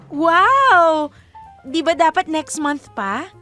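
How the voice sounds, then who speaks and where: Masiglang mapagmahal na nobya, mataas ang boses. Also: female voice, extremely high pitch, very slow, faint music behind, exaggerated voice. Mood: delighted, proud. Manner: expressive, affectionate. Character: devoted, cheerful young girlfriend named Monet. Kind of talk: Filipino radio drama acting.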